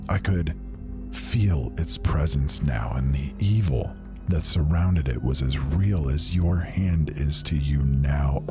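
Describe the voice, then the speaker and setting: A man tells a dark fantasy horror tale in a low voice. He narrates slowly and dramatically, leaning into the dread, with loud music playing beneath him. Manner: slow, dramatic, intense narration. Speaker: storyteller. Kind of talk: spoken horror story narration.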